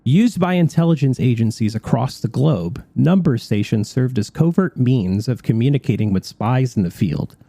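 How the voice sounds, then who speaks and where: A man's voice: mid-range voice, animated, moderate pace, gravelly, hardly any pauses, mellow, husky, no room sound. A man hosting a radio show in a studio, moving between chat and part-scripted narration.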